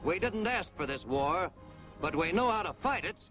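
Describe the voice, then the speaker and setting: American guy doing tough punchy ww2 army film narration, highish voice, dull old soundtrack. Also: expressive, normal speed, faint music behind. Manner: hard-boiled, punchy, emphatic delivery. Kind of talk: 1940s military training film narration.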